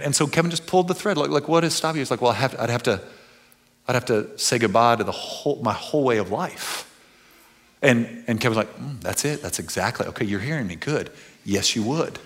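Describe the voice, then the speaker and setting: Bright-voiced American man preaching through stories. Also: mid-range voice, brisk. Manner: animated retelling with quoted dialogue, repeats words, informal slang. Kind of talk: storytelling sermon to an audience.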